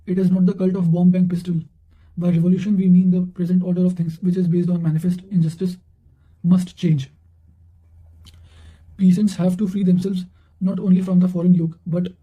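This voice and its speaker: A man with a high-pitched voice and a very dark, soft-edged tone reads study material aloud. His intonation stays flat, and he reads haltingly, tripping over words and starting them again. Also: highs cut off. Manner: halting reading with stumbles and repeated words. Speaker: narrator reading quotations for exam revision. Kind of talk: read-aloud of quotations and study notes.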